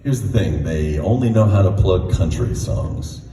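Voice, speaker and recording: A middle-aged American man with a very deep voice, telling stories casually as a singer-songwriter at a live event. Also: animated, moderate pace, warm tone. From United States, Texas. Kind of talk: casual stage storytelling. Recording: echoey hall, distant mic, background noise.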